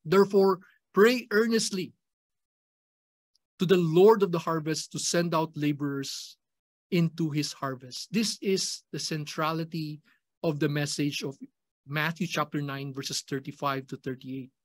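A man's voice: a little high, fairly even intonation, measured pace. A middle-aged man with a Filipino accent giving an earnest chapel sermon, repeating key phrases for emphasis. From Philippines. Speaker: pastor. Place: indoor chapel at a lectern. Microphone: stand mic in front of the mouth.

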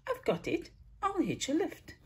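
A woman's voice: very high-pitched, huge pitch swings, unhurried, darkish tone, slight background noise, pitched above the performer's own voice, exaggerated voice. A woman voicing a tiny female snail in a storybook, earnest, eager and plucky. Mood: excited.

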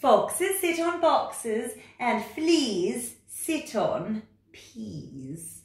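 A woman's voice: on the high side, big pitch swings, very slow, slight background noise, voice pushed up, exaggerated voice. A woman voicing a bossy, impatient know-it-all storybook cat, making emphatic singsong rhyming pronouncements. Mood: insistent. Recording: roomy, distant microphone.

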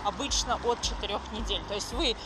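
A woman's voice: medium pitch, fairly quick. A young woman chatting informatively and casually while walking outdoors along city streets. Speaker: language school representative. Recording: noisy outdoor street sound. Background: street ambience.

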